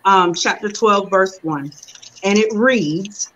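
A young woman preaching a sermon over a video call from home, reading scripture slowly and then explaining it in relaxed preaching talk. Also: medium pitch, highs cut off, slight background noise. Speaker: reverend preaching. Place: room at home. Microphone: video call webcam.

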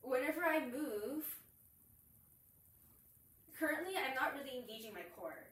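A woman's voice: sits high, measured pace, a bit bright. Young woman teaching yoga in echoey room. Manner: explains while demonstrating poses, cueing breaths. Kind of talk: instructional yoga explanation. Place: small room with curtains and yoga mat, indoors. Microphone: far camera. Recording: echoey room, far from mic.

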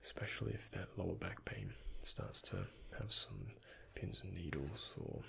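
A young man speaking in a breathy, hushed ASMR whisper, gently and unhurriedly, close to the microphone as in a doctor roleplay. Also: deep voice, sing-song, unhurried, slight background noise. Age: young adult. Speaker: ASMR creator playing a doctor. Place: indoors, close before a dark backdrop.

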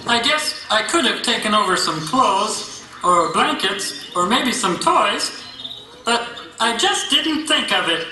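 A performer plays an eager, naive puppet fellow who is stubbornly sure of himself. The voice is bright and animated, with lots of swoops. It sounds distant in a very echoey hall. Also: mid-range voice, a little slow. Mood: puzzled, earnest. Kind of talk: children's religious puppet show dialogue. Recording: echoey hall, distant mic, faint music.

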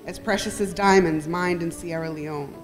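Female poetry reader, thirties, lowish voice, at a podium mic, music audible underneath. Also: fairly even intonation, moderate pace. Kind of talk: reading poems aloud at an event. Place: library meeting room, at podium. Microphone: podium gooseneck microphone.

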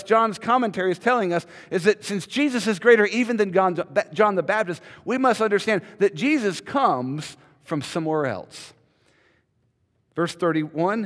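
A man in his thirties preaching a sermon from a church pulpit, emphatic and repeating words as he builds each point. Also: high voice. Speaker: pastor. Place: large church sanctuary, indoors. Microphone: podium mic, filmed from a far camera. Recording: long hall reverb.

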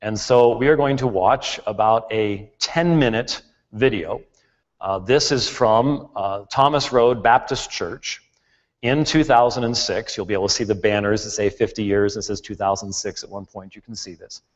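A middle-aged man giving an explanatory presentation in a church, speaking deliberately and with emphasis. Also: fairly low pitch. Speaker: lecturer. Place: large church auditorium, at a pulpit. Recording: dull, reverberant large-hall sound.

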